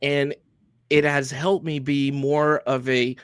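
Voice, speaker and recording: A man's voice: medium pitch, flat delivery, slow pace, smooth, no echo, studio quality. Earnest veteran man from Honduras. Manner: earnest, plain-spoken, occasional swearing. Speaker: military veteran and security business owner. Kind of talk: casual interview answers.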